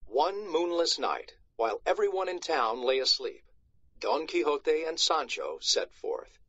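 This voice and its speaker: A man reading a storybook aloud to schoolchildren in an expressive, dramatic narrating style, on a slightly muffled recording. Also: a little high, unhurried, dry recording.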